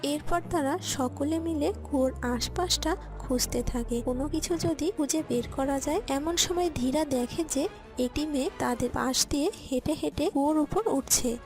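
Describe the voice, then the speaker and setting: A woman's voice: high voice, normal speed, darkish tone, soft background music. A woman giving scripted narration for a cartoon story.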